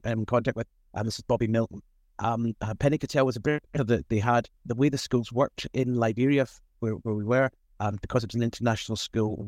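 A man's voice: medium pitch, no echo. A man in his fifties giving casual, reflective interview answers in a modest, rambling, conversational way. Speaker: podcast guest, food safety executive.

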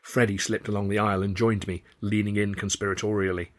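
A man reading his own novel aloud in the first person, with a wry, lively storytelling manner. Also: fairly low pitch, fast. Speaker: author and narrator. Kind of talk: audiobook narration, first person.

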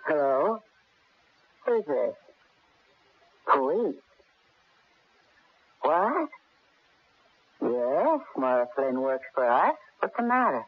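A woman playing an excitable, devoted wife and mother in a 1970s radio drama, emphatic and theatrical, with a husky voice. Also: sits low, drawn-out words, long pauses, no room sound, full character voice. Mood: puzzled, wary. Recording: muffled narrow phone-like radio recording.

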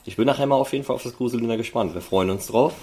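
A man's voice: medium pitch, very fast, heavy background noise. A man hosting a fan podcast, asking loosely phrased questions in an informal, chatty interview style.

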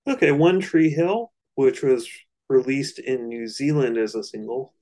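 A man's voice: medium pitch, measured pace, mellow. A man co-hosting a casual album discussion, explaining things in a loose, slightly hesitant way. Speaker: podcast co-host.

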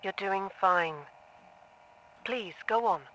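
A woman playing a patient, composed therapist with a measured, reassuring manner in an audio drama. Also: mid-range voice, pushed and bright, rough recording. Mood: patient, probing. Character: composed, patient female therapist, quietly probing.

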